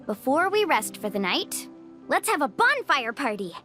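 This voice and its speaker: An outgoing young female guide in a video game. Her voice is high and lively, with an upbeat, encouraging manner, over soft music. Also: normal speed, exaggerated voice. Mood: upbeat, playful.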